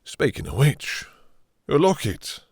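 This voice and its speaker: A man playing a possessive, seductive vampire master, breathy and husky, purring condescending endearments and commands in an intimate audio roleplay. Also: a little high, very animated, takes it slowly, no room sound, studio quality, heavily acted. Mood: smug, controlling.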